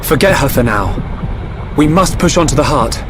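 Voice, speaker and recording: Casting: a man to act a determined young fighter for a game, direct and defiant, raised pitch, noisy backdrop. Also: lively intonation, moderate pace, lightly acted. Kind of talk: video game cutscene acting.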